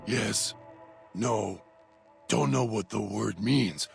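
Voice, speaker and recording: A man voicing a deranged, hungry male dog-creature who talks of himself in halting, broken third-person speech. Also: lowish voice, full character voice. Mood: eerie. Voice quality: gravelly. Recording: music bed underneath.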